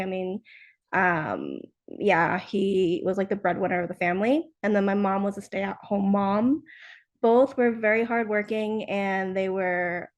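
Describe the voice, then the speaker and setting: A young woman from New Jersey, a startup founder, answering interview questions in a casual, chatty way with many fillers and soft laughs. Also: medium pitch. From New Jersey, United States.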